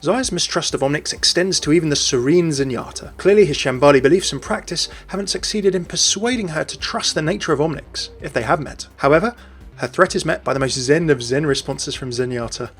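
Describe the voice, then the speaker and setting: A man's voice: a little high, brisk. A man hosting a video game lore video in scripted narration with chatty asides. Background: faint music.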